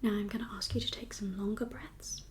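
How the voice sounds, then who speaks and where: A woman whispering an ASMR medical roleplay as a kindly doctor, gentle and reassuring in an unhurried, professional way. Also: medium pitch, fairly even intonation, slight background noise. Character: kindly doctor for undead patients. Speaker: ASMR performer playing a doctor.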